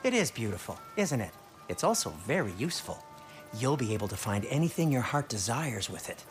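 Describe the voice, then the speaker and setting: Man acting a fussy dwarf craftsman, very lively. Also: medium pitch, a little fast, soft background music, natural voice. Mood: fussy, proud. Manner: fussy, pedantic, rambling. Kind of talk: comic video game acting.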